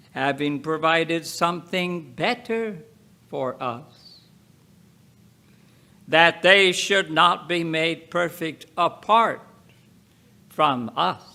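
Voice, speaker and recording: A man reading scripture aloud in a solemn, dramatic style, deliberate and emphatic, breaking the text into short phrases with pauses. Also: high-pitched, sharp tone. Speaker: Bible reader.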